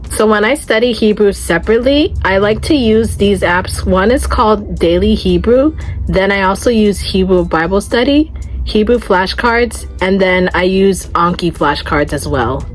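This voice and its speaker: A woman's voice: medium pitch, moderate pace, faint music behind, poor audio. A woman in her twenties talking casually and earnestly to camera in a vlog, with frequent "like" fillers. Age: 20s. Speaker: vlogger.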